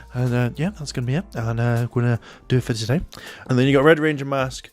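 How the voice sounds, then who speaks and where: A man's voice: medium pitch, a little fast. A man in his thirties giving casual first-look commentary to camera in a hesitant, rambling way, recorded close on a handheld recorder in a small room. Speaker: YouTube toy reviewer. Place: small indoor room at home. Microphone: handheld recorder close to the mouth.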